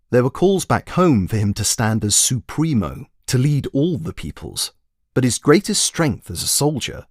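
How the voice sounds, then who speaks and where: Animated low-pitched male storyteller. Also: no echo, clean studio sound. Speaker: author reading own short story. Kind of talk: scripted storytelling narration.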